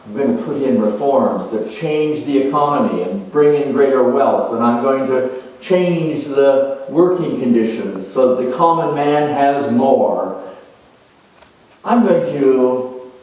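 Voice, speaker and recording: A man playing a proud, charming, opinionated politician in a dramatized monologue, musing in a self-assured way with halting, emphatic delivery. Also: medium pitch, expressive, darkish tone. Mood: boastful, self-assured. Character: proud, charming, opinionated male career politician turned world judge. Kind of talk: dramatized first-person character monologue. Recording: roomy echo, distant microphone.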